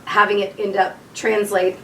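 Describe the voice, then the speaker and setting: A young adult woman, a radio co-host, talking candidly in an interview with a husky voice from throat nodules. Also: mid-range voice, little pitch movement, moderate pace, a little hiss or hum. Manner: candid, laughs often. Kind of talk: casual interview chat. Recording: echoey room, mic at a distance.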